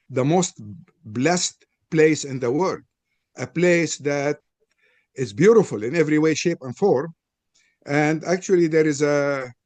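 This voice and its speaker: Older lebanese guy, arabic first language, earnest panel talk, fairly high voice, dry sound. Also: a little mellow, no echo. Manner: earnest, frank, personal storytelling. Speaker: panel presenter. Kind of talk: interfaith panel statement.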